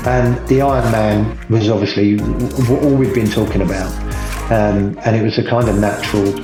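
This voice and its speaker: A middle-aged man from England, a guest telling his story in a relaxed, unhurried way, with frequent ums and restarts. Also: lowish voice, echoey room, music in the background, rough recording. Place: home, by the sea.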